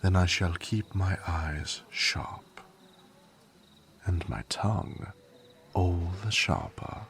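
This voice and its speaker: A man playing a very deep, courtly royal servant. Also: very animated, slow, vocal fry, fairly bright tone, slight background noise, exaggerated voice. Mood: dry, devoted. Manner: formal, courteous, restrained. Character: loyal, courtly male royal servant, dryly witty. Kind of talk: dramatic fantasy court audio drama.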